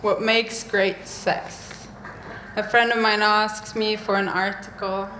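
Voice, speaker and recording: Slow woman reciting poetry. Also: mid-range voice, flat delivery, pushed and bright, slight background noise. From Norway. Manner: slow, deliberate reading with pauses. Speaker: poet. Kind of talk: spoken-word poetry performance. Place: live event venue, indoors. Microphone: stage microphone. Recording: echoey room, faint ambience.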